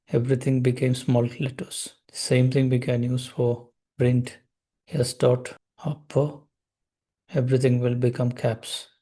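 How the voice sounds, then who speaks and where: A young man, slowly explaining code step by step as he types in a tutorial. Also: mid-range voice, warm tone. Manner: slow, step-by-step explaining while typing code. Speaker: programming tutor. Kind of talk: screen-recorded coding tutorial.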